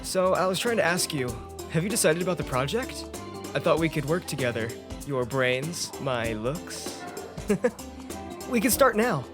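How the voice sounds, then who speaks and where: A man's voice: on the high side, lively intonation, unhurried. Eager, awkward college guy, acted by a man. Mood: flirty, joking. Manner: chatty, awkward, joking. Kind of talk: comedic-dramatic comic dub acting. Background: music.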